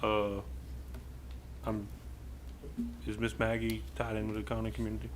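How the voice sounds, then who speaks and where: A man's voice: on the low side, completely flat delivery, normal speed, a little hiss or hum. A man, a council member, asking informal questions at a public meeting.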